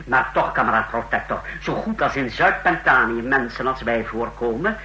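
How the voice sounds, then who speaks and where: An actor playing a tense, formal intelligence chief in an old Dutch radio drama, sharp and easily agitated. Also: male voice, medium pitch, normal speed, natural voice. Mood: alarmed, pleading. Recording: old radio recording with faint hum.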